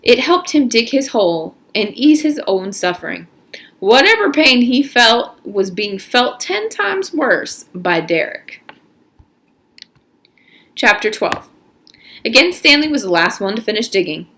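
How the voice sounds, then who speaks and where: A woman narrating a storybook aloud in an expressive, emphatic way. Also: fairly high pitch, a little slow.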